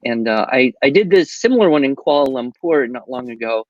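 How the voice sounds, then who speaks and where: A man's voice: mid-range voice, expressive, brisk, no echo, lo-fi sound. A man talking over a video call as a guest speaker, chatty and wry, with a habit of telling jokes. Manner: wry joke teller, chatty and digressive. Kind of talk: casual interview chat.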